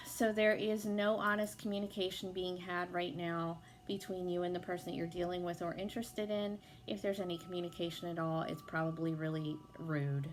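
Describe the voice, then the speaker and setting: A young woman with a hoarse voice from being sick, chatting casually as she gives a tarot reading, with lots of fillers and some swearing. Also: on the low side, slight background noise. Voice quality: hoarse, gravelly. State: sick, losing her voice. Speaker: tarot reader.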